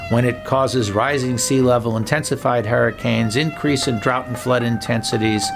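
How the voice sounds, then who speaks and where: A man with a creaky, mid-pitched voice speaks as an expert interviewee. His manner is emphatic and plain-spoken, with clear music laid over him. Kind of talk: unscripted documentary interview.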